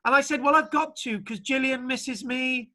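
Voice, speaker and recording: Bright-sounding guy teaching an archaeology class over a video call, rambly and jokey. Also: very high-pitched, steady tone, moderate pace. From Britain. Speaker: archaeology lecturer. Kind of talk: online class lecture and chat.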